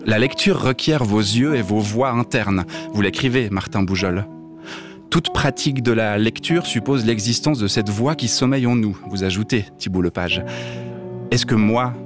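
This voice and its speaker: A man's voice: lowish voice, lightly acted. A man playing a deaf man who dislikes reading novels, speaking a blunt scripted radio monologue over music. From Switzerland. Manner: blunt, mildly provocative, direct address. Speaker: radio columnist.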